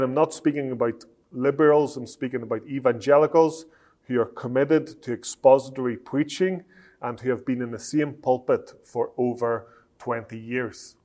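Northern Irish man giving a measured lecture. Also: mid-range voice, a little mellow. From Northern Ireland. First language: Italian. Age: 30s. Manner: measured, slowing down for emphasis, frequent "uh" fillers. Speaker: Bible college lecturer. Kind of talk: lecture to preachers.

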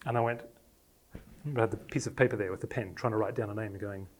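A man in his forties from Australia, with an accent mixing Australian and English traces, preaching a personal sermon with animated, self-deprecating storytelling. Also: on the low side, fast. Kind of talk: personal sermon talk to a congregation.

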